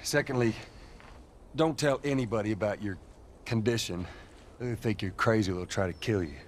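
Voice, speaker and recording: An actor playing a gruff, stern middle-aged American survivor in a video game cutscene, speaking curtly and commandingly in folksy phrasing. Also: male voice, on the low side, moderate pace, slight background noise, lightly acted. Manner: curt, commanding, Southern-tinged folksy phrasing.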